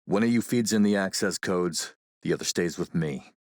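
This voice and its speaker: An actor playing a ruthless middle-aged warlord in a video game, speaking in a measured, courtly, menacing way. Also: male voice, low voice, a little mellow, no room sound, clean studio sound, natural voice. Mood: businesslike menace. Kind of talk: video game character voice acting.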